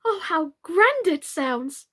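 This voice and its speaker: A woman voicing a polite, eager, excitable young girl in a storybook, with a wide-eyed, expressive delivery. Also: very high voice, slow pace, pitched above the performer's own voice, heavily acted. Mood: excited.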